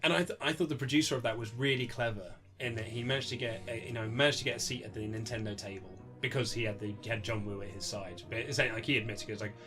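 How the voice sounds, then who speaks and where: A British man being interviewed as an author, chatting casually in a rambling, animated way and often acting out quoted remarks. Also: fast, few pauses. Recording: low-budget recording with music underneath.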